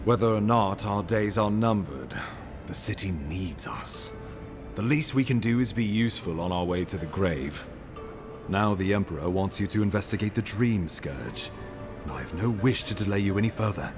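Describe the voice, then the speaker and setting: Fantasy game ambassador: lowish, lively intonation, courteous, dutiful, world-weary, formal delivery over music. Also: male voice. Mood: resigned. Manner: measured, formal, resigned. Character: weary, dutiful male imperial ambassador, self-doubting yet courteous. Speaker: voice actor. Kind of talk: dramatic fantasy game dialogue.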